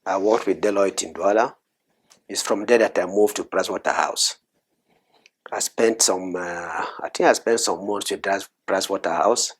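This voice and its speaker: A middle-aged man from Cameroon, a guest in an interview, talking in a measured, soft-spoken way with frequent hesitations. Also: low voice, husky. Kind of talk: interview conversation.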